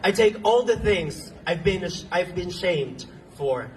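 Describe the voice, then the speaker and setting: A man's voice: high voice, expressive. Man speaking at an outdoor rally. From Venezuela. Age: young adult. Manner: earnest, halting at times, with ums and restarts. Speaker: speaker at a protest march. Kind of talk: impassioned rally speech. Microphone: phone recording from the crowd. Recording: distant phone recording outdoors.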